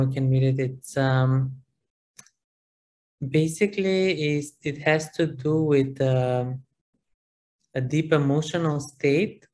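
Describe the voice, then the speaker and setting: Macedonian-speaking guy in a class discussion, gravelly and flat, lots of ums. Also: mid-range voice. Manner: hesitant, searching for words, many fillers.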